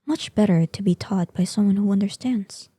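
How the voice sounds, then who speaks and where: A woman playing an ancient, proud and curious female dragon in an audio roleplay, speaking softly in formal, old-fashioned phrases. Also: medium pitch, husky, dry recording, close to an everyday voice. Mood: thoughtful, courteous. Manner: formal, archaic, deliberate phrasing. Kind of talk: scripted audio roleplay addressed to the listener.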